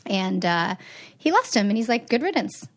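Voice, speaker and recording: A woman's voice: medium pitch, moderate pace. A woman in her thirties, a relationship expert, talking candidly as a guest in a casual podcast chat. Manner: candid, animated storytelling.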